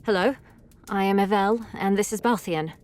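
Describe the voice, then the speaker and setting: A woman's voice: medium pitch, normal speed, a little mellow, slight background noise, close to an everyday voice. A woman playing an earnest, direct and resolute young knight in an audio drama.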